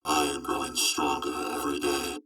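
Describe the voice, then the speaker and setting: A man's voice: a bass voice, completely flat delivery, moderate pace, bright, forceful tone, slightly rough, faint music behind, full character voice. A man voicing a boastful robot companion in an audio drama, with mechanical, formal delivery. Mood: proud. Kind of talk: post-apocalyptic audio drama acting.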